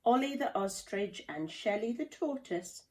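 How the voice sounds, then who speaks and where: A woman reading a children's storybook aloud in a deliberate, dramatic storytelling manner. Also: fairly high pitch, lively intonation, darkish tone. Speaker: play therapist reading a children's book. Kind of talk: expressive children's storybook narration.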